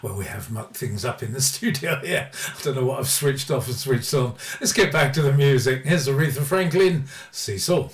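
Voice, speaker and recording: A man's voice: mid-range voice, lively intonation, normal speed, far from the mic. A man hosting a music radio show, reading out record details and dedications in an informal, somewhat hesitant way. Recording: roomy echo, music playing behind.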